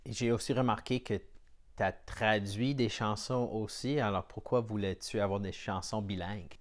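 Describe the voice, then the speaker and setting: A man's voice: lowish voice, steady tone, normal speed. A man with a Canadian French accent, hosting a podcast and putting questions in a casual, conversational way. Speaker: podcast host, interviewer.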